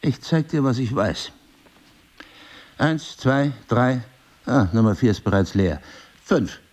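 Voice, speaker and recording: An actor playing a married crime novelist in an intimate drama, husky and articulate, probing, cynical and wounded, with deliberate literary phrasing. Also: male voice, medium pitch, expressive, a little mellow. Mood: accusing. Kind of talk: intimate two-person stage drama dialogue.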